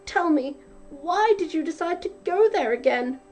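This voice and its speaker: Woman playing a high-voiced, anxious loving mother. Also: animated, measured pace, darkish tone, soft background music. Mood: tender worry.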